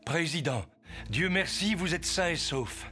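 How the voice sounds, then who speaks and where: Courteous, expressive executive, slightly high. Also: male voice, unhurried, faint music behind. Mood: earnest. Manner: polite, formal. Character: courteous, dignified male executive, earnest and calm. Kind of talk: animated cartoon dub.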